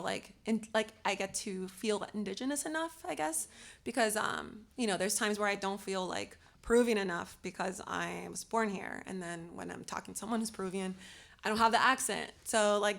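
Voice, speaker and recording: A woman's voice: mid-range voice, creaky, fairly bright tone. A young woman from Los Angeles speaking on a panel, hesitant, with many fillers and restarts. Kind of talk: casual panel discussion.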